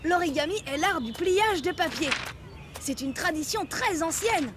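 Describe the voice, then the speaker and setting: A woman voicing a patient, precise child who instructs friends calmly and earnestly in a French children's cartoon. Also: very high-pitched, a little slow, background noise. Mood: patient, instructive.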